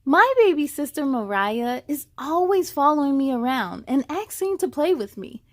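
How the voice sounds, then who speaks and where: A woman reading a children's storybook as a young girl, an easily angered big sister, in an emphatic, deliberate way. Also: high voice, very animated, no echo. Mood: mad, upset.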